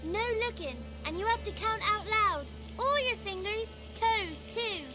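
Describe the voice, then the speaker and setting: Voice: very high voice, unhurried. A performer playing a cheeky, bold little village child in a video game, with pert, simple rustic phrasing. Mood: playful. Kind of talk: video game cutscene acting. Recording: music behind.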